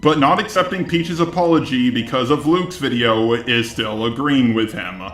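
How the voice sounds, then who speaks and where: A man's voice: mid-range voice, normal speed, fairly bright tone, faint music behind. Echoey robotic male commentator voice. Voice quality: synthetic robotic voice. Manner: argumentative, rebutting. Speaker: commentary video host. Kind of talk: scripted response commentary.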